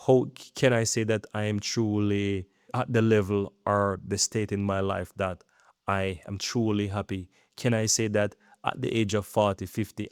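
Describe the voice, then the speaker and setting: A man's voice: on the low side, darkish tone, no room sound, clean studio sound. A man in his thirties talking earnestly in a rambling vlog, often checking in with "right?". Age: 30s. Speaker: YouTube creator. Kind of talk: casual talking-head vlog.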